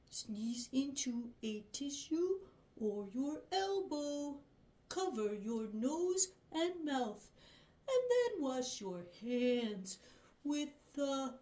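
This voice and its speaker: A woman doing a slow gentle Papa Bear. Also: high voice, full character voice. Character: friendly, forgetful Papa Bear puppet.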